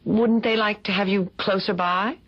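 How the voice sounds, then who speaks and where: An actress playing a shrewd, playful married woman chasing a mystery, teasing and deliberate, in an old-style radio drama. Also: mid-range voice, steady tone, lightly acted. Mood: friendly, curious. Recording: dull, lo-fi radio drama recording.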